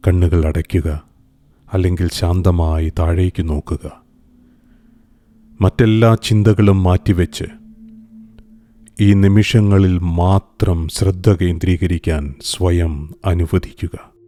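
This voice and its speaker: A man guiding a scripted meditation in a calm, soothing, gentle manner, pausing deliberately between phrases. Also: deep voice. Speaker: meditation guide. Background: music.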